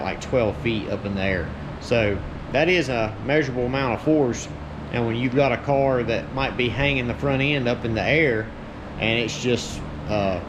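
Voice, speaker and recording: A man giving a casual, plain-spoken, folksy explanation of his hands-on car work, as host of his own video. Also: mid-range voice. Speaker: host, race car builder. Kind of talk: casual explanatory shop talk. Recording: clear steady background noise, ambient hum.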